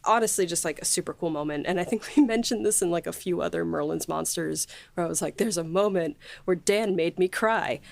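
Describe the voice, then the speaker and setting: A woman hosting a casual behind-the-scenes podcast chat, speaking effusively and quick to laugh. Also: on the low side, creaky, darkish tone. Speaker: podcast host and audio drama writer.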